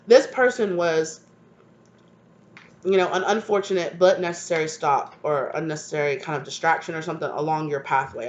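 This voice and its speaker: Woman tarot reader, congested, affectionate chatty delivery, dull lo-fi recording with light hiss. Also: sits low. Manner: affectionate, repeats "right?" and "come on now". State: stuffed up from a cold. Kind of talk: channeled tarot reading to viewers.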